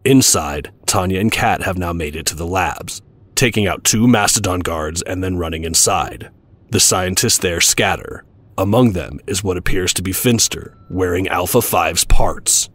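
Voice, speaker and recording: A man's voice: deep voice, normal speed, fairly bright tone, no echo. A man reading scripted narration for an audio drama.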